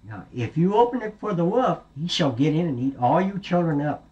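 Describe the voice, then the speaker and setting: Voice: medium pitch, very animated, fairly quick, darkish tone, lo-fi sound, pitched above the performer's own voice, close to an everyday voice. A storyteller voicing an anxious, caring mother goat who warns her children with old-fashioned words. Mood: warning. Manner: fussy, warning, old-fashioned speech.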